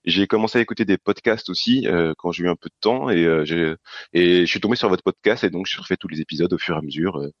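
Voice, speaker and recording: A man's voice: sits low, quick pace, dry recording, highs cut off. A man from France, a podcast guest chatting casually about board games, hesitant with many fillers and restarts.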